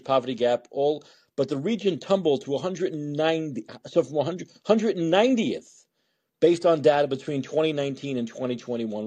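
A male call-in host with a very lively, varied delivery and a dark, relaxed tone. He rambles through opinionated monologues in a blunt, sarcastic way. His voice is a little husky. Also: mid-range voice. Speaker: host of a call-in talk show. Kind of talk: opinionated live talk radio monologue.

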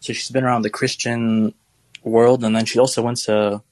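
A man's voice: mid-range voice, little pitch movement, normal speed, a little mellow, dry recording. Chatty young man calling in. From United States. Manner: rambling, chatty, laughs while telling stories. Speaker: caller. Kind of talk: casual call-in question.

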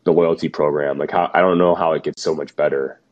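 A man co-hosting a podcast, a little hoarse from being sick, musing skeptically in casual chat. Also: extremely low pitch, steady tone, brisk, darkish tone, lo-fi sound. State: slightly losing his voice, sick. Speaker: podcast co-host.

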